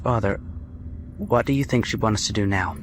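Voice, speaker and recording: Radio drama, noisy scene: male actor as loyal young American captive, low register, earnest, protective of his father. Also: normal speed, lightly acted. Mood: tender, earnest. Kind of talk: period radio drama acting.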